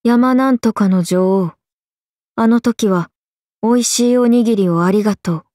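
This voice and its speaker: A woman voicing an earnest, airheaded, stoic young swordswoman in an anime game, speaking in a plain deadpan way. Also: a little high, normal speed, dry recording, studio quality, close to an everyday voice. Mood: gentle, vague. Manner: deadpan, plain, slightly blunt. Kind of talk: anime game character voice acting.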